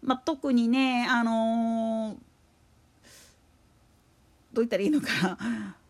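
A woman's voice: on the high side, steady tone, slow pace, darkish tone. A woman giving casual solo commentary as a podcast host, rambling and opinionated, with emphatic repetition.

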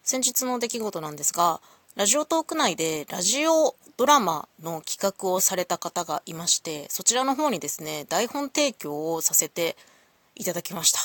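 A woman's voice: lowish voice, sing-song, normal speed, a bit bright, dry recording. A woman hosting a solo audio show, talking casually to listeners in a polite, reflective, explanatory way.

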